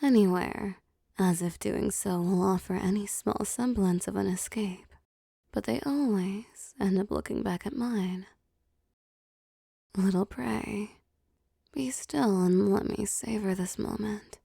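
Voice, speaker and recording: A woman playing a possessive, doting, wealthy vampire boss in intimate roleplay audio, soft and teasing, often slipping into whispers. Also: medium pitch, creaky, breathy edge, no echo, clean studio sound, heavily acted. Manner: slow, teasing, pampering, softly commanding.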